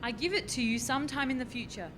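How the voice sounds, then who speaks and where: A woman's voice: quick pace, natural voice. A woman playing an anxious, bossy scientist in a radio farce, exclaiming and cautioning in exasperation. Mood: cautious, brisk. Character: anxious, bossy female scientist juggling time-travel experiments. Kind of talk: radio play farce acting. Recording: clear background noise with low rumble.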